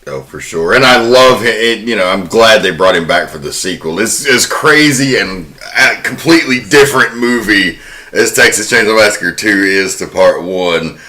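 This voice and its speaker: A man's voice: medium pitch, bright, forceful tone. A man hosting a casual film discussion podcast, talking informally and with enthusiasm, swearing now and then. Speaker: podcast host.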